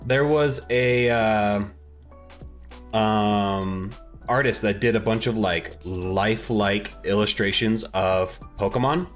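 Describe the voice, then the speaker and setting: Low-voiced man rambling casually. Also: takes it slowly, faint music behind. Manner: rambling, restarts sentences while searching for words. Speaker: podcast co-host. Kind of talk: casual chat.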